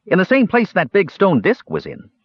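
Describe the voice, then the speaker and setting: A squeaky, restless little kender fellow, as a male narrator puts him on, springing up high and leaping all over the scale. His voice is husky and dry, heard on a dull, lo-fi storybook recording. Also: sing-song, normal speed, dry recording, heavily acted. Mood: eager. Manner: chirpy, high and indignant.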